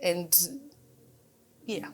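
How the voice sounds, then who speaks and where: A woman's voice: extremely slow, darkish tone. A young South African woman, a gospel singer, answers interview questions earnestly and switches between Zulu and English mid-sentence. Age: young adult. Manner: earnest, grateful, talks about faith.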